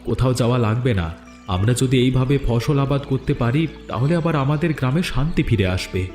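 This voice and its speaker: A man's voice: mid-range voice, expressive, fairly quick, a little mellow, natural voice. An actor playing a firm, insistent village man in a dramatic fairy-tale cartoon. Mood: earnest. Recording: echoey studio dub with background music.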